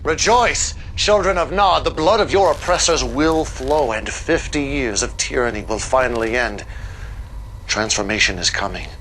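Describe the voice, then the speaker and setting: Casting: male actor for a messianic villain in a game cutscene, solemn and grandiose, medium pitch. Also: normal speed, fairly bright tone, a little hiss or hum, natural voice, poor audio. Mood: grandiose triumph. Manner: oratorical, solemn proclamations. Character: fanatical messianic male cult leader, grandiose and menacing. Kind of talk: scripted video game propaganda speech.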